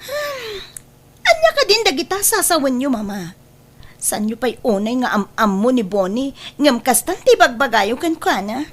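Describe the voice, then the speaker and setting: A woman's voice: sits high, sing-song, normal speed. An actress playing a headstrong young woman in love in an Ilocano audio drama, pleading and emphatic, with an Ilocano accent. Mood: defiant, hurt.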